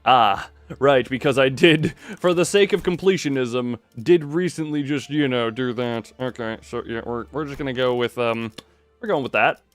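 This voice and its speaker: A man talks casually through a game on stream, thinking out loud as he goes. He rambles and stammers into his sentences at an ordinary pitch, with music playing faintly underneath. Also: moderate pace, no echo. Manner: rambling, thinks aloud, stammers on word starts. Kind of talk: casual live-stream commentary, reading game text aloud.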